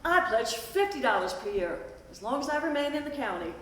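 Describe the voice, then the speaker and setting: A woman's voice: high voice, very animated, brisk, a bit bright. A woman playing plain-spoken rural townsfolk in a stage play, announcing pledges in a declarative manner. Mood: proud, declarative. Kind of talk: scripted stage play recitation. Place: stage performance at a festival. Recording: echoey hall, distant mic, noisy.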